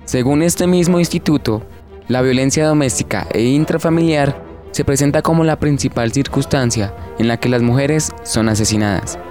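A man's voice: medium pitch, normal speed, darkish tone. A man with a Colombian accent reading a scripted, informative radio narration. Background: faint music.

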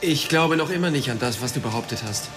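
A man's voice: medium pitch, animated, fast talker, natural voice. Man playing earnest, troubled young hero. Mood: doubting, wry. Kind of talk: radio play acting. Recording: music under the voice.

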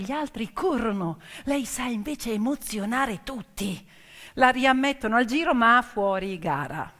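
A woman telling a story theatrically in short emphatic phrases with repetition, moving between hushed and loudly projected delivery. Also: mid-range voice. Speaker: stage storyteller. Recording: long hall reverb, music behind. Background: music, piano accompaniment.

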